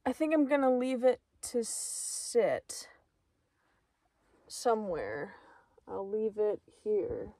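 A young woman in her twenties chatting casually to a handheld camera, giggly and prone to excited exclamations, filming in her car and on trails. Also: mid-range voice, takes it slowly, pauses often, dry recording. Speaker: vlogger. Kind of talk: casual vlog chat to camera. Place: inside a parked car and outdoors on forest trails. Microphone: phone or camera held at arm's length.

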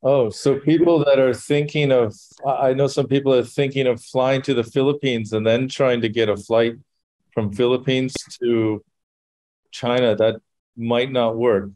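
A man hosting a podcast over a video call, talking in a relaxed, conversational way. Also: mid-range voice, a little slow, no room sound, low-quality recording. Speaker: podcast host. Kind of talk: casual chat.

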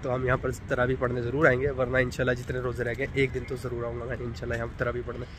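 A man's voice: medium pitch, completely flat delivery, very fast. A young man chatting casually into his phone as he walks around the city, upbeat and talkative. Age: young adult. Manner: chatty, upbeat narration to camera. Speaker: vlogger. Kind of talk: casual travel vlog. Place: outdoors on city streets. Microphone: phone held at arm's length. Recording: noisy outdoor street recording. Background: traffic and street noise.

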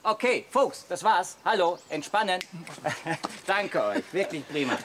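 A man's voice: high voice, sing-song, measured pace, noisy background. An actor playing a fussy, bossy, easily exasperated male photographer in a radio play. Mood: pleased. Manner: bossy, gushing at models. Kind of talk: German radio play acting.

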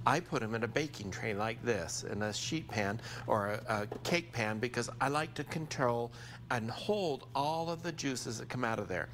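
An American man in his sixties gives a cooking demonstration in a studio kitchen. His voice sits at a middle pitch and he talks through each step conversationally. There is clear ambient noise behind him. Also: moderate pace, fairly bright tone. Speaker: TV chef presenting a cooking segment.

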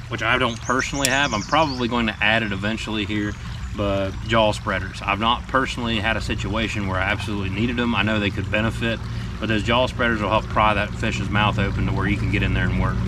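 A man in his thirties with a fairly low voice talks easily from a small boat outdoors, chatting through gear tips with lots of ums and small asides. The camera mic sits a few feet off, so he sounds a little distant, with clear outdoor noise around him. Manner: relaxed, plain-spoken, many ums and asides. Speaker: fishing guide and show team member. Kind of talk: casual instructional talk to camera. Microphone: camera mic from a few feet away.

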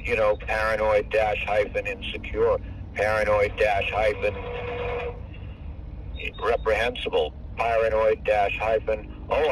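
An older man, over 65, talking in a rambling, profane, hard-to-follow way on a phone call. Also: on the low side. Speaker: caller. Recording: distant phone call with faint car noise.

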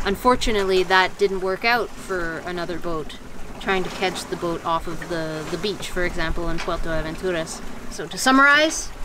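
A woman in her thirties explaining sailing basics in a casual, practical way, outdoors on a sailboat with a handheld mic. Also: medium pitch. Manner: explains with hand gestures, relaxed and practical. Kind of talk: casual explanatory talk to camera. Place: outdoors on the deck of a sailboat at sea. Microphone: handheld furry lavalier held near the mouth. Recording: faint wind and water noise.

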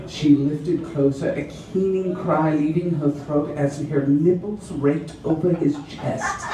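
A young man gives a theatrical, deliberate dramatic reading of fiction aloud on a stage, playing it for comedy. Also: on the high side, distant mic. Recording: echoey small venue from audience, crowd laughing.

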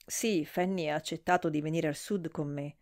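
A woman's voice: sits low, steady tone, moderate pace, darkish tone, lightly acted. A woman voicing a terse, reserved man who answers briefly. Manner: brief, curt replies.

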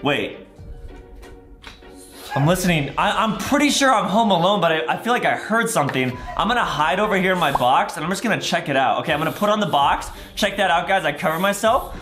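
Man in his twenties, excitable kids' gaming host, theatrical, high-pitched, swooping delivery, shouty. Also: a little fast. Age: 20s. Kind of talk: exaggerated kids' gaming commentary. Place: small room at home, indoors. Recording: roomy echo with loud background music. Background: music.

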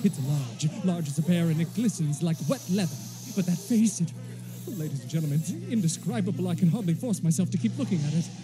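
An actor as a high-voiced, alarmed radio reporter. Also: male voice, lively intonation, brisk, over music, close to an everyday voice, low-quality recording. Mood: horrified fascination. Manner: breathless, stammering, dramatic. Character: awestruck, increasingly terrified male radio field reporter. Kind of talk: 1930s radio acting, eyewitness news report.

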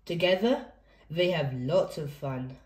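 Lady reading a kids picture book, takes her time, slightly low voice, echoey room. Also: expressive, slow pace, a little mellow. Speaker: children's book read-aloud host. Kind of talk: expressive picture-book read-aloud for young children.

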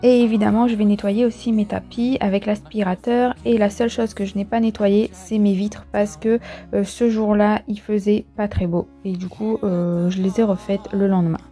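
A woman with a soft, mellow tone and a medium pitch narrates a casual vlog. She explains each step in a chatty, practical way. Light music plays behind her voice. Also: flat delivery, moderate pace. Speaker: vlogger, host. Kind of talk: casual cleaning vlog voice-over. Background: soft background music.

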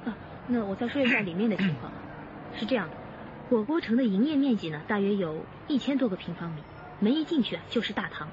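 A woman plays a frank, concerned police detective in a radio crime drama. She talks fast with lively pitch. The audio is a little dull and has music behind it. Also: noisy background, lightly acted. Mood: concerned briefing. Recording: muffled band-limited audio with music behind.

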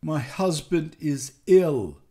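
A man's voice: fairly high pitch, wildly swooping pitch, takes it slowly, voice pushed up, full character voice. A man voicing a desperate, tearful wife who pleads urgently in a dramatic story. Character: desperate tearful young wife begging for help.

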